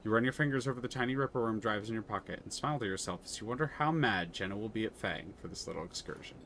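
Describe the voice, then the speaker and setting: A man's voice: fairly low pitch, rapid-fire, a bit bright, slight background noise. A man streaming a story game live, reading narration aloud and thinking aloud between moves, with frequent restarts.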